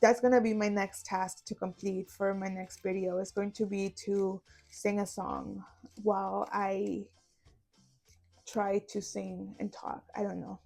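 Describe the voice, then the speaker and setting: A woman's voice: mid-range voice, level tone, measured pace, vocal fry, a little mellow, faint music behind. A young woman, chatting casually and poking fun at herself while she solves a puzzle. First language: Spanish. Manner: chatty and self-deprecating, rambling with fillers. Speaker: vlogger.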